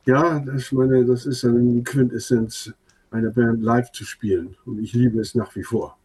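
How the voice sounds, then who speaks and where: A man in his sixties from northern Germany, a band leader whose first language is Low German, answering questions in a measured, matter-of-fact way. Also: fairly even intonation, darkish tone, slightly rough, poor audio. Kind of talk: interview answers.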